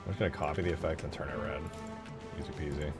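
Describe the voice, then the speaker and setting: A man with a deep voice talks casually through his game modding work. He is low-key, half muttering to himself as he thinks aloud. Music plays clearly behind him. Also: fairly even intonation, quick pace. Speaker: game modder. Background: clear background music.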